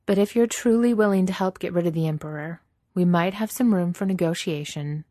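A woman reader plays a guarded young princess leading rebels in a space-opera story. She is assertive and wry, pitched above the narration, with a dry close sound. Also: fairly quick, warm tone, no echo, natural voice. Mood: firm, wry.

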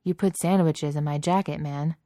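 A woman's voice: deep voice, steady tone, normal speed, dark tone, no room sound, studio quality, lightly acted. A woman voicing a wry, bold human man in a story, casual and sarcastic in delivery. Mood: wry, amused. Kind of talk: audiobook character voice.

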